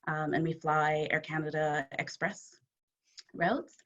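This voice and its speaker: Low-voiced woman on a dull video call. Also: fairly even intonation, slow pace. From Zimbabwe. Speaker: aerospace engineer. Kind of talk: casual chat.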